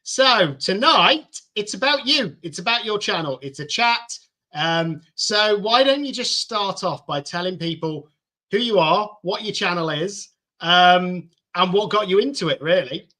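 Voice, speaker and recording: Chatty guy hosting a live stream, highish bright voice, friendly and encouraging, video call audio. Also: expressive, unhurried. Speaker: host. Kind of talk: casual live-stream chat.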